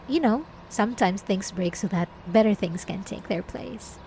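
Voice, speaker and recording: A woman playing a sweet, devout, obsessive young church girl in an ASMR roleplay, speaking haltingly with apologetic, feigned innocence. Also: mid-range voice, vocal fry, dark tone, a little hiss or hum, lightly acted, poor audio. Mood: wistful. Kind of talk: ASMR roleplay drama, addressed to the listener.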